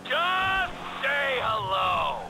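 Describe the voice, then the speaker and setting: An actor playing an unhinged, needy, crude middle-aged criminal in a video game, wheedling and theatrical. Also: male voice, extremely high pitch, big pitch swings, very slow, vocal fry, shouting, over loud music, heavily acted, low-quality recording. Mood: aggrieved. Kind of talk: video game character acting.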